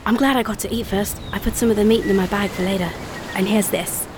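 A woman's voice: medium pitch, steady tone, fast, noisy background, lightly acted. An actress playing a practical young girl who passes as a cabin boy in an audio drama, matter-of-fact in manner.

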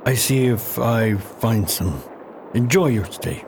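A man's voice: medium pitch, slow, vocal fry, noisy background. A man playing a polite, easygoing island innkeeper in a radio play, deferential and clipped in his grammar. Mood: courteous. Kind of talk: radio theatre acting.

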